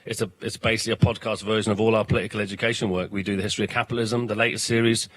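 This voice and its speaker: A man in his fifties with a South London accent, a guest at a political festival talking fast and forcefully, often repeating words as he starts a sentence. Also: on the low side, little pitch movement. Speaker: political education organiser, guest. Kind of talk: passionate interview talk, swearing.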